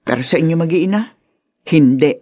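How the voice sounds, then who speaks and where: An older grandmother in a Filipino radio drama. Her voice is dark, raspy and close in a dry studio. She is blunt and reproachful, full of worry for her family. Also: low-pitched, normal speed, no room sound.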